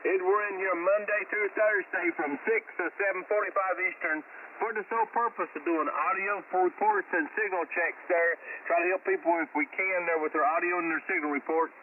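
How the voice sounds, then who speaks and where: A man's voice: sits high, steady tone, brisk. A man running a ham radio net, chatting in a folksy way over shortwave. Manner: folksy, repeats "brother" and "there". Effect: heard over shortwave radio. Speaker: amateur radio net controller. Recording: tinny narrow radio band, loud static, distant.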